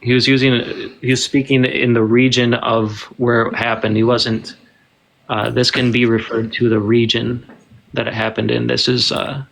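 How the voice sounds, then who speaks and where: A man's voice: medium pitch, gravelly, fairly bright tone, slight background noise, poor audio. A man rebutting in a casual debate, with many fillers and restarts.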